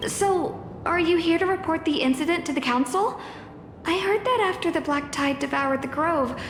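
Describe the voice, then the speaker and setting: A woman playing a courteous young student in a fantasy game, speaking earnestly and formally. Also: high-pitched. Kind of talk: fantasy video game acting. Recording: echoey hall with music behind.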